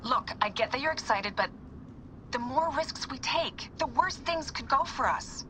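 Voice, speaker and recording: A woman's voice: high-pitched, animated, unhurried, pushed and bright, slight background noise, full character voice. An actress playing a cautious, worried female technician with a wry, sarcastic streak in a video game cutscene. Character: anxious, cautious woman technician, sarcastic partner.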